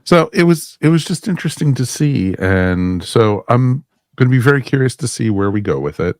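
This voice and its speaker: A man's voice: medium pitch, expressive, moderate pace, no echo, studio quality. A man hosting a casual podcast discussion, chatty and enthusiastic, often laughing. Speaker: podcast host.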